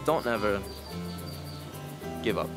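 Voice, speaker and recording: A man's voice: fairly low pitch, lively intonation, takes it slowly. A man speaking earnestly in a motivational interview, repeating his phrases for emphasis. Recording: very loud music over the voice. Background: loud music.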